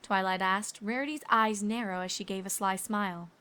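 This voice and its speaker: A woman's voice: mid-range voice, steady tone, moderate pace, pushed and bright, clean tone. A woman reading scripted story narration as the narrator.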